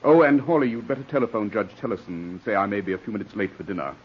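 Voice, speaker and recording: An actor playing a stern, cold English barrister in a 1940s radio drama, brusque and commanding. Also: male voice, lowish voice, rapid-fire, husky. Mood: brisk, stern. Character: stern, cold, imperious Edwardian English barrister, older brother. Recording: dull vintage 1940s radio recording.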